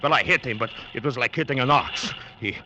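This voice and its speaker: An actor playing a loyal, blunt, indignant sailor in an old radio adventure drama. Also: male voice, mid-range voice, brisk, sharp tone. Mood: angry, indignant. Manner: indignant, emphatic. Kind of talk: 1940s-50s radio acting. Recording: noisy old radio recording.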